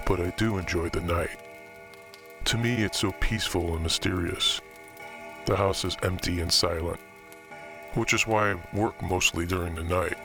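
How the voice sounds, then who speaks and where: An actor playing a brooding, theatrical occult collector, narrating a scripted horror tale in a measured way with dramatic pauses. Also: male voice, deep voice. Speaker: narrator and main character of horror fiction podcast. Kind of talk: scripted first-person horror storytelling.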